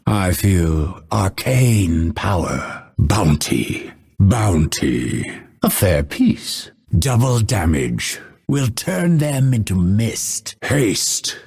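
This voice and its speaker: Gravelly, low-voiced bitter assassin. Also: male voice, darkish tone, full character voice. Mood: resolute, grim. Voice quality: gravelly, husky. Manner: measured, menacing, dryly sardonic. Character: unveiled male assassin, hunted since birth, bitter, spiteful, coldly purposeful. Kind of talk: video game character voice lines.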